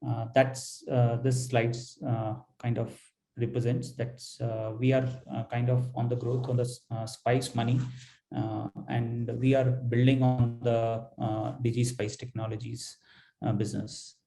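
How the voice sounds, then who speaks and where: An Indian-accented man presents company results on an earnings call. His voice is mellow and relaxed in tone, at a middling pitch. He speaks haltingly, often pausing and repeating words as he works through the figures. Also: gravelly, rough recording. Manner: halting, hesitant delivery with frequent pauses and repeated words. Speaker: finance executive presenting results.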